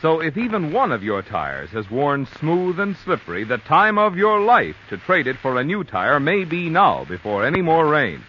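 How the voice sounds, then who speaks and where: Animated male vintage radio announcer. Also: a little high, normal speed, hardly any pauses. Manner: emphatic sales delivery. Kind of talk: 1940s radio advertising read, scripted. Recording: noisy muffled vintage radio recording.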